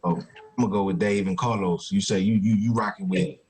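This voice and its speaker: A man's voice: fairly low pitch, fairly even intonation, brisk, darkish tone. A man hosting a podcast in casual, slangy banter peppered with profanity. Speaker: podcast host.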